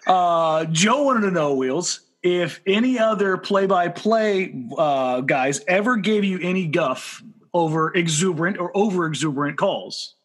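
An American man co-hosting a podcast, chatting casually and haltingly with a husky voice, often repeating words for emphasis. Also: high voice, lively intonation. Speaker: podcast co-host reading listener questions.

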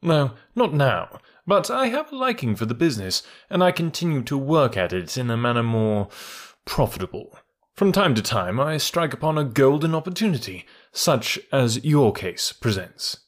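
A man's voice: mid-range voice, very animated, moderate pace, pitched above the performer's own voice. A man voicing a hard middle-aged male ex-detective turned blackmailer, who speaks dry, firm and precise. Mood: cool.

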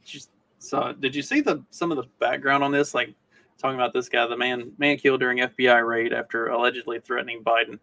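Man, medium pitch, plenty of vocal fry, podcast co-host, casual halting talk. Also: steady tone, pushed and bright, no echo. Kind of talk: casual political chat.

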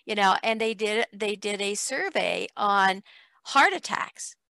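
Bright-voiced woman hosting an interview show. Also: medium pitch, lively intonation, unhurried, vocal fry, dry recording. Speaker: talk show host.

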